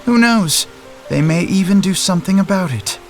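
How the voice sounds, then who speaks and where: A man's voice: high voice, animated, normal speed, soft background music. A man voicing a wry, eloquent strategist in a fantasy video game, with articulate, formal and sardonic phrasing. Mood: wry, exasperated. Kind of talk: dramatic fantasy game cutscene acting.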